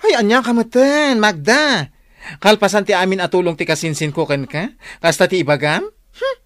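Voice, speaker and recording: An actor playing an effeminate, gossiping cousin who stirs up trouble with shrill exclamations in a radio drama. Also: male voice, big pitch swings, normal speed, fairly bright tone, dry recording. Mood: gossipy.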